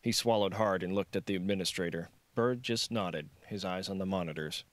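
A man narrates a thriller novel as an audiobook. His voice is on the low side. The recording is dry and close, with the scripted delivery of a storyteller. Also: a little fast, no room sound.

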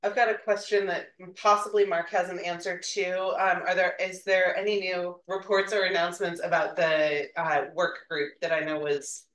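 A woman's voice: fairly low pitch, flat delivery, moderate pace, hardly any pauses, a bit bright, far from the mic. A woman speaking as a board member at a city meeting, friendly and inquiring. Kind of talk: meeting discussion. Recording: echoey room, off-mic.

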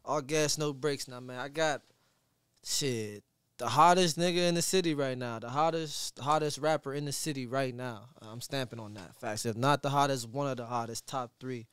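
A man in his thirties hosting a podcast in a small studio, talking in a relaxed, slangy way into a boom mic. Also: moderate pace, clean tone, no room sound. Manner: laid-back slangy talk, relaxed hype. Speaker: podcast host. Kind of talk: casual interview. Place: small studio with foam wall. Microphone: studio mic on boom arm, headphones.

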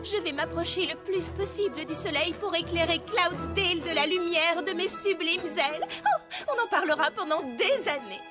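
A woman's voice: very high-pitched, animated, moderate pace, few pauses. A woman voicing a refined, dramatic female pony in a French cartoon dub, with flowery, theatrical delivery. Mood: grandiose. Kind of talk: French-language cartoon dubbing. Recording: music behind the voice.

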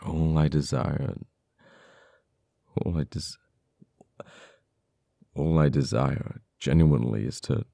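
Casting: male actor for cold, uptight official in ASMR roleplay, extremely low gravelly voice, slow and halting, bitter over a lost friend. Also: warm tone, no room sound, clean studio sound, exaggerated voice. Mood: conflicted, mournful. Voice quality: very deep, gravelly. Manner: halting, teasing, dramatic pauses and repeated words.

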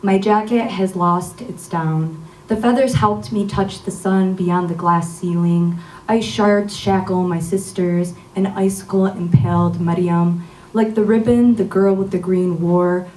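A young woman poet reads her work at a community open mic, her voice fairly low and measured. The podium mic sits a little away from her, so the sound comes across distant. The room is echoey, with a faint hum of ambience behind her. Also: warm tone. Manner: measured, reading from a phone. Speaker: featured poet. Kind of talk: spoken word poetry reading. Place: community arts center, indoors. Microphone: podium mic on a stand.

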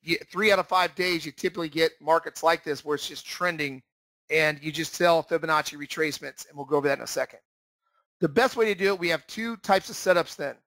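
A man's voice: a little high, fairly quick, fairly bright tone, no echo. A man giving an instructional screen-share narration as a trading teacher, explaining emphatically and repeating his key points.

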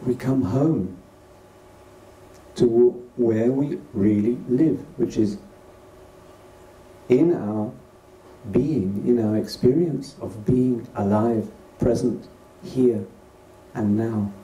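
A man leading a guided meditation as a teacher, speaking gently and unhurriedly in short phrases with pauses between them. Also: mid-range voice. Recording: distant roomy recording with faint noise.

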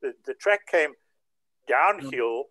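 A man's voice: mid-range voice, animated, slow, bright, forceful tone, no echo, studio quality. An older man from South Africa chatting casually and in detail on a group video call. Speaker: participant in a hobby group chat. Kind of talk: casual chat, recounting.

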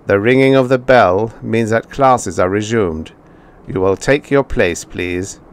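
A man voicing a calm, unfeeling robot teacher who speaks in a flat metallic way. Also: fairly low pitch, measured pace. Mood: stern.

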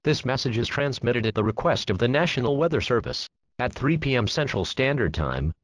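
A man's voice: medium pitch, fairly bright tone. A man's synthetic voice making a scripted emergency warning announcement in a formal, impersonal manner.

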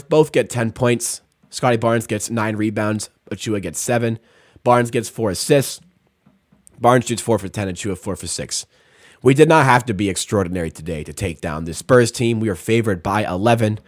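A young man hosts a post-game show from a small room at home, working through the box score in a relaxed, chatty way. His voice sits at a middling pitch. He speaks into a close studio mic with a dry sound. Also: no echo. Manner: rattles off stats, fillers like "like" and "uh". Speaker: sports stream host. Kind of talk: casual post-game breakdown. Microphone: studio mic on boom arm, close.

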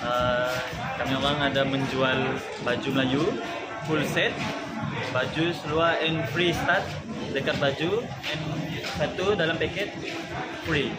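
A young stall seller describes his clothes in halting, filler-heavy speech, mixing in English words. His voice sits slightly high. Loud music plays behind him at a market booth. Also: steady tone, a loud crowd, low-quality recording. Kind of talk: informal product pitch.

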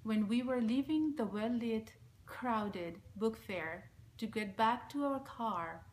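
Bangladeshi-accented woman, forties, solemn and measured reading to camera; middle pitch, light background hiss. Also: low-quality recording. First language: Bengali. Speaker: speaker giving a recorded message. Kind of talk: reading a prepared statement. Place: small indoor room, plain wall. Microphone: front-facing camera at close range.

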